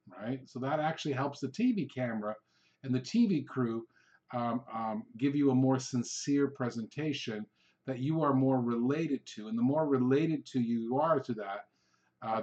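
A man's voice: medium pitch, darkish tone. Man coaching on a dull, lo-fi podcast. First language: Hungarian. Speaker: podcast host, real estate sales coach. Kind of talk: informal solo podcast coaching.

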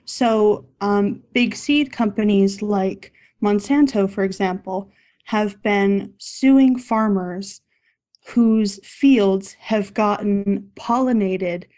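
Woman asking questions, mid-pitched voice. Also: slow, mellow. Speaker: discussion participant asking questions. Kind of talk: casual spoken discussion.

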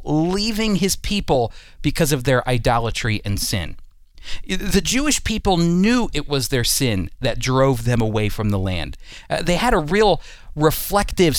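Higher-voiced American man teaching, dry sound. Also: dry recording. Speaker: radio Bible teaching host. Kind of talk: teaching talk with scripted segments.

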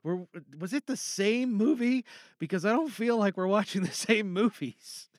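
A man's voice: sits high, normal speed, dry recording, studio quality. A man chatting casually as a podcast host, rambling informally and often trailing off or rephrasing mid-sentence. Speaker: podcast host giving feedback to fellow podcasters. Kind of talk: casual, rambling solo chat with opinions on films.

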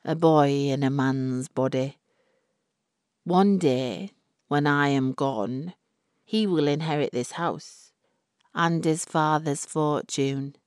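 A woman plays an older, wealthy matriarch from a storybook, smugly menacing. She talks low and very slowly, with cold, genteel condescension. The recording is dry. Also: darkish tone, clear, resonant tone, no echo. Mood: measured persuasion. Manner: slow, measured, genteel condescension.

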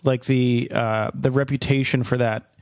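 A man hosting a podcast in casual chat. He talks haltingly, full of fillers and restarts. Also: medium pitch, flat delivery, a little mellow, no echo, studio quality. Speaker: podcast host.

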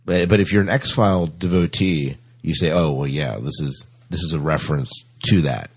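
A man's voice: rumbling low, moderate pace, slightly rough. A man hosting a podcast in casual, agreeable conversation. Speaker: podcast host. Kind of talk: casual chat.

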